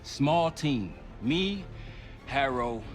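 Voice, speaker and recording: An actor playing a terse, defiant special-operations operative in a military game, speaking in clipped, controlled phrases. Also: male voice, medium pitch, lively intonation, very slow, music in the background. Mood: focused. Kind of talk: cinematic military game acting.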